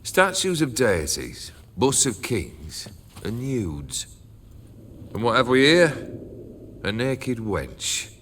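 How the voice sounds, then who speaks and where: A man's voice: mid-range voice, wildly swooping pitch, slow pace, a bit bright, slight background noise, heavily acted. An actor playing a jaded, cursed male noble who leads a band of bandits, talking in a languid, sardonic drawl in a game cutscene. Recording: rowdy crowd of revelers behind.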